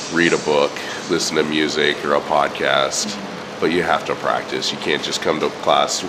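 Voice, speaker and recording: A man's voice: very deep voice, noisy background. A man who teaches English, giving matter-of-fact answers in a street interview. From United States.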